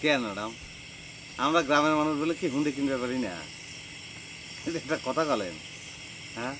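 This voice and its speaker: A man's voice: mid-range voice, animated, brisk, noisy background, lightly acted. An actor playing a harried, touchy young village man in a comedy drama, arguing with rhetorical questions. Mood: exasperated, defensive. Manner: argumentative, full of rhetorical questions. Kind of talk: Bengali TV comedy drama acting.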